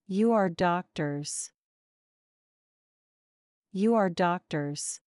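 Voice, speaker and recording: A woman reading English example sentences slowly and evenly for learners to repeat. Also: on the low side, a little mellow, clean tone, no echo, studio quality. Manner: careful, evenly paced repetition.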